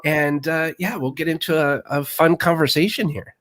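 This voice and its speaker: A man hosting an interview, excitable and rambling, often restarting his sentences. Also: on the high side, moderate pace. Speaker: podcast host.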